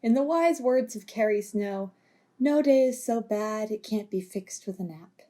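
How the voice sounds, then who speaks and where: A woman's voice: medium pitch, animated, unhurried, dark tone, lightly acted. A woman voicing a breezy, teasing security chief who also narrates her own story in an amateur audio drama.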